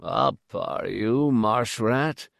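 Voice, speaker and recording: A gruff, terse old infirmary keeper, as a male reader voices him, kind underneath. He talks very slowly and bluntly, recorded dry and close. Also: mid-range voice, animated, fairly bright tone, no echo, heavily acted.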